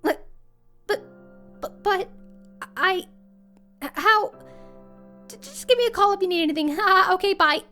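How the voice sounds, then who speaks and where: A woman's voice: very high voice, a little slow, long pauses, sharp tone, faint music behind, full character voice. A woman voicing a flustered, anxious character in a comic dub, stammering nervously. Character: flustered, anxious, stammering, high-voiced worrier. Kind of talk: fan comic dub voice acting.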